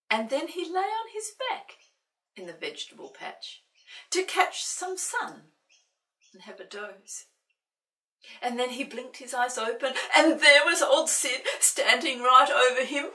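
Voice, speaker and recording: A woman reads a children's story in a roomy space, a little away from the microphone. Her voice is fairly high and swings expressively, with an unhurried pace. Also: sharp tone, far from the mic. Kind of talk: expressive picture-book read-aloud.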